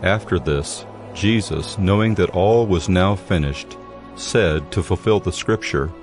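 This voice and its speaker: A man's voice: sits low, measured pace. A man reading a scripted, dramatized scripture narration. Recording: music bed under the voice.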